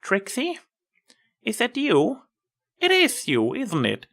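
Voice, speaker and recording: A man's voice: high-pitched, wildly swooping pitch, slow pace, vocal fry, fairly bright tone, voice pushed up, full character voice. A man voicing an elderly female pony schoolteacher, kindly, concerned and questioning, in a story reading. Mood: astonished concern.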